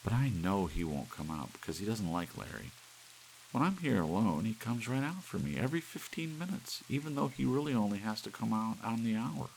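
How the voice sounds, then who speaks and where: A man voicing a coquettish, excitable married woman in an audiobook, gushing and pleading. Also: fairly low pitch, brisk, creaky, voice pushed up, rough recording. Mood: fond, confiding. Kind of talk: audiobook character voice. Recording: steady rain hiss behind.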